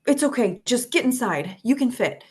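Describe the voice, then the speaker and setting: A woman's voice: on the high side, animated, moderate pace, a little mellow, slightly rough. A woman playing a caring, anxious young friend on a rescue, speaking earnestly and urgently in a screenplay table read.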